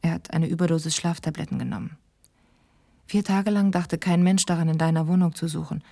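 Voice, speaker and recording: A woman's voice: low voice, a little fast, gravelly, dark tone. An actress playing a woman who reads her own grave, candid letter in a sober, matter-of-fact way in a radio drama. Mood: grave, restrained.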